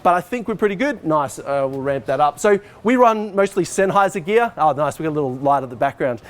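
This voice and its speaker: A man's voice: a little high, expressive, a little fast. A man in his forties giving an informal, explanatory walkthrough as a teacher, talking conversationally as he moves about. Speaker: teacher, head of department giving a technical tour. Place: school hall stage and backstage, indoors.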